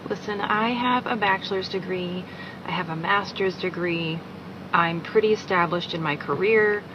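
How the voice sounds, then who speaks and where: A woman's voice: lowish voice, normal speed, muffled recording, noisy background. A woman speaking bluntly and scornfully in a social media video.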